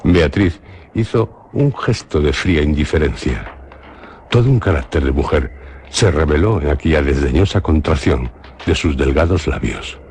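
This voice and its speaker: Very deep-voiced Spanish male narrator. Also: lively intonation, moderate pace, a little mellow, slight background noise. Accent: Spain Spanish. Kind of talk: scripted radio drama narration.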